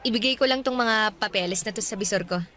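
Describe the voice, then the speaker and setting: A woman's voice: mid-range voice, fast, close to an everyday voice. A woman in her twenties playing a shy, polite young office worker in a radio drama. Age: 20s. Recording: music bed under voice.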